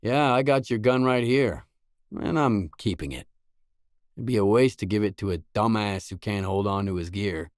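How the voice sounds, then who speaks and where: A man acting a low-voiced, terse lone mercenary. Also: moderate pace, dry recording, studio quality, natural voice. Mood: blunt, irritated. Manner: terse, stern, matter-of-fact. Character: gruff, guarded lone mercenary man, blunt and cool-headed. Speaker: video game protagonist voice. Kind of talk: scripted game dialogue lines.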